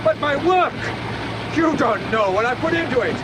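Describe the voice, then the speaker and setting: Brief: a proud technician caught in a fraud, strained high voice shouting over loud engine and waves. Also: male voice, expressive, moderate pace, very noisy. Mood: boastful. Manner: boastful, then menacing. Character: proud scheming Scottish lab technician, man. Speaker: lab technician in a fraud scheme. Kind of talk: radio drama acting. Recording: loud sea and boat engine effects.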